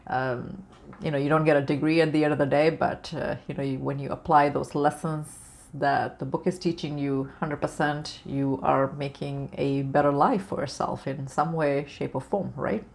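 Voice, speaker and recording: A woman with a deep voice and a Canadian accent carrying a slight British-Australian undertone, talking casually about spiritual topics with many fillers and restarts. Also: fairly even intonation, normal speed, gravelly. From born outside Canada, raised in Canada. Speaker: spiritual vlogger. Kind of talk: casual spiritual talk to camera.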